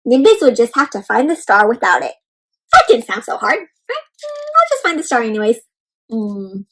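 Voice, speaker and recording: Female children's read-aloud host: high register, lively swooping intonation, questions and exclamations aimed at young listeners. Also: moderate pace. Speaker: host reading a picture book aloud to children.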